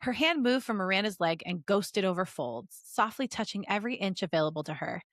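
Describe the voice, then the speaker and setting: A playful woman co-hosting a podcast, moving between expressive story reading and casual banter. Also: medium pitch, fairly quick, dry recording, studio quality. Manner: playful, giggly. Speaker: podcast co-host reading fan fiction aloud. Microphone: close podcast microphone.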